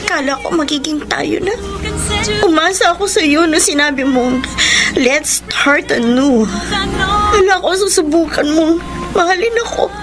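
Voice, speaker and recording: A woman's voice: sits high, unhurried. An actress playing a lovelorn young woman in a Filipino radio drama, earnest and emotive, with a Filipino accent. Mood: hurt, bitter. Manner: earnest, emotive delivery, mixing Tagalog and English. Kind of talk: Filipino radio drama acting and letter narration. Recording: radio drama with music underneath.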